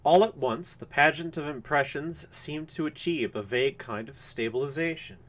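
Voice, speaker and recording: A man reading a literary horror story aloud live, with measured, formal and dramatic phrasing. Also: on the high side, fairly bright tone. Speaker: reader of a story.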